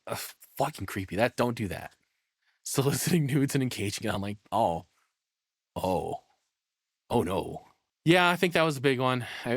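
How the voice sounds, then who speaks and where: A man's voice: mid-range voice, dry recording. Blunt, sweary man reacting, very dry sound. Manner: blunt, profane, opinionated; rambling, thinking aloud. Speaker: streamer reacting to a video. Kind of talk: casual live reaction commentary.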